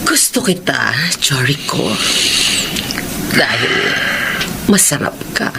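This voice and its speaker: A woman's voice: extremely low pitch, big pitch swings, slow, vocal fry, very noisy, exaggerated voice. A woman acting in a radio drama as a predatory, manipulative director, drawling and insinuating with seductive menace.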